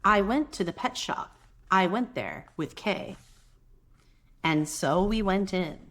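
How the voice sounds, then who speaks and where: A woman with a soft voice reads a picture book aloud to young children, gentle and expressive, with chatty asides to her listeners. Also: mid-range voice, takes it slowly. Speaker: children's read-aloud host; mother.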